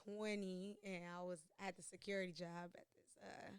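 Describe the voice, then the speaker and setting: A woman's voice: lowish voice, level tone, normal speed, clean tone, dry recording. A woman guesting on a podcast, telling candid stories about her relationship with laughter and playful slang. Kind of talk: casual chat.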